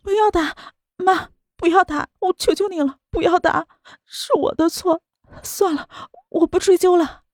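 A woman voicing a tearful young woman who pleads with her mother in a high, sobbing voice. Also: a little slow, darkish tone, no echo, clean studio sound. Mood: tearful pleading.

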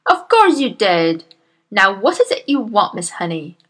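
A woman voicing a huge, bullying female headmistress who barks and shouts with scorn. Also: mid-range voice, sing-song, measured pace. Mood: bellowing scorn.

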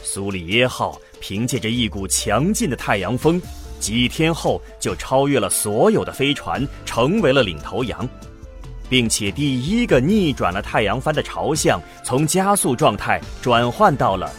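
A man's voice: mid-range voice, sing-song, normal speed, faint music behind, close to an everyday voice. A man narrating a radio drama from a script in a storytelling style.

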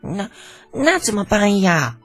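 A woman voicing an excitable, greedy young crown prince in an audiobook, with a dramatic, exclamatory manner. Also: lowish voice, moderate pace, husky, no room sound, soft background music. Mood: dismayed. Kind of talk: audiobook character acting.

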